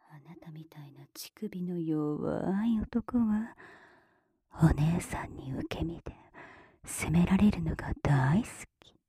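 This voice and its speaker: A woman speaks in a very airy, hushed whisper for adult ASMR. Her delivery is unhurried, teasing and softly commanding. The sound is dry and intimate, right at the microphone. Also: lowish voice, lively intonation, slightly rough, dry recording. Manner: slow, teasing, gently commanding big-sister persona. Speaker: erotic audio performer. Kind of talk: whispered erotic ASMR roleplay. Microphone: close mic, dry.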